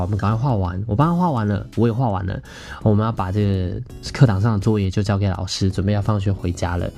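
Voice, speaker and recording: A man's voice: on the low side, dark tone. A man in his thirties with a Taiwanese Mandarin accent, hosting a podcast and telling a personal story in a theatrical, playful way. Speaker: podcast host.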